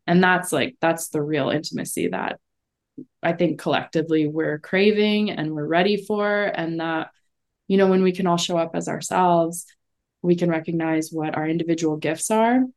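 A woman speaking as an astrologer guest in a relaxed, reflective interview, often filling with "like". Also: lowish voice. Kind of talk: casual conversational interview.

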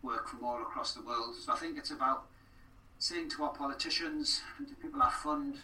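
A man with a very bright, sharp voice gives earnest interview answers. He often repeats phrases for emphasis. The recording is roomy and distant from the mic. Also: on the high side, wildly swooping pitch, brisk. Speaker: podcast guest. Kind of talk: conversational interview.

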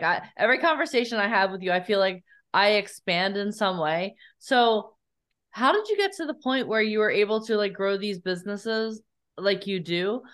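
Female podcast host, medium voice, gushing conversational interviewer. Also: mid-range voice. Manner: warm, effusive, chatty. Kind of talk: casual interview talk.

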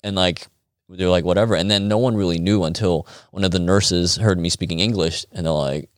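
American male podcast guest, deep voice, dry close sound, colloquial raconteur who voices both sides of a conversation. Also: a little fast, no room sound. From United States. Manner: animated, colloquial, acting out quoted dialogue. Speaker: interview guest, acupuncturist. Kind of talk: casual conversational storytelling.

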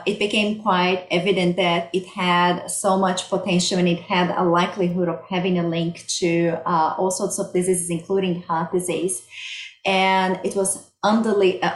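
A middle-aged woman from Brazil with a Brazilian accent, explaining her research plainly as an interview guest. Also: fairly low pitch. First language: Portuguese. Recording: roomy, echoey sound.

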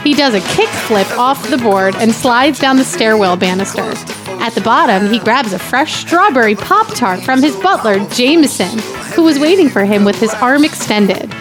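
A woman's voice: a little high, expressive, normal speed, music in the background. A woman guest on a comedy podcast, blunt and casual, bantering and reading a script. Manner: blunt, sweary heckling.

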